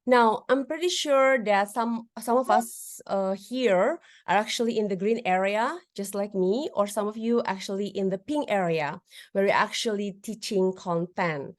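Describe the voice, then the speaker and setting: A woman's voice: mid-range voice, a little slow. A woman lecturer with an Indonesian accent, whose first language is a regional Indonesian one, giving a webinar presentation over a video call, with fillers, restarts and questions to the audience. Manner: lively, frequent fillers and restarts, addresses audience with tag questions.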